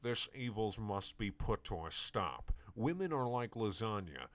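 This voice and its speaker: A man voicing a gruff heroic male cartoon cat, speaking in a deep, mouth-shaped cartoon voice with grave declarations. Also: very animated, a little slow, no echo. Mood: solemn.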